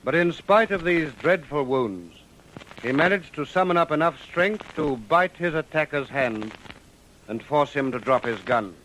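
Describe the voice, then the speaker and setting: An actor as the grave narrator of a radio police drama, telling the story in dramatic scripted style. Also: male voice, fairly high pitch, animated, measured pace, a bit bright, a little hiss or hum, poor audio.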